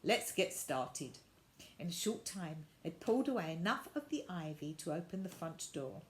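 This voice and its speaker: A woman reading a children's story aloud, with deliberate, expressive narration. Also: on the low side.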